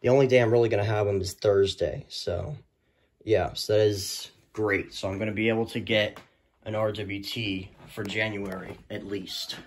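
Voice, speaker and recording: A man's voice: fairly low pitch, steady tone, normal speed, a little hiss or hum. A young male student giving a casual, rambling hobbyist review that often trails off, recorded indoors on a handheld phone. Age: teenager or young adult student. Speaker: weather radio hobbyist reviewer. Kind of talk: casual off-the-cuff product review. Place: small room indoors. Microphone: handheld phone camera.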